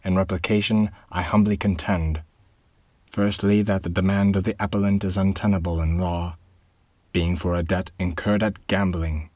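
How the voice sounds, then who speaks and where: A man's voice: sits low, normal speed, no room sound, natural voice. A man playing a firm, commanding dwarf. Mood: formal. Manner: calm, firm, menacing. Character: shrewd, commanding male dwarf guardian.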